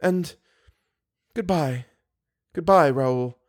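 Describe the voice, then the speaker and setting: A man voicing an anguished young woman, high-pitched and pleading. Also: drawn-out words. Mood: sorrowful resignation. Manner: dramatic, solemn pleading. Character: anguished young opera singer woman, pleading, grave, secretive. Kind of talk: audiobook character voice.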